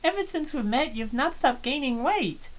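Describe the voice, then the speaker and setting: A woman's voice: high voice, animated, normal speed. A woman voicing an earnest, worried college girl. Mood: concerned. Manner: earnest, gently prying. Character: earnest, worried young female college friend. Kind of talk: fan voice-over of a visual novel.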